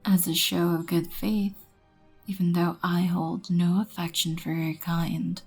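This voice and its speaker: A woman performs a regal vampire queen for an ASMR roleplay, low and breathy with a creaky edge. She speaks softly and with measured poise, haughty yet wryly merciful, over background music. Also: level tone. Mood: haughty, composed. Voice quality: breathy, often near whisper.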